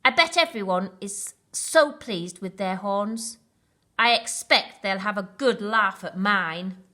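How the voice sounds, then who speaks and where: A woman's voice: medium pitch, slow pace, sharp tone. A woman voicing a gloomy, self-conscious rhino in a children's story, complaining with heavy emphasis. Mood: wistful.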